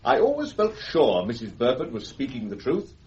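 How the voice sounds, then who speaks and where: A man's voice: mid-range voice, huge pitch swings, normal speed, highs cut off, background noise. An actor playing a formal, deferential male police superintendent in a radio drama. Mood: matter-of-fact. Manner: formal, official, apologetic. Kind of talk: BBC radio drama acting.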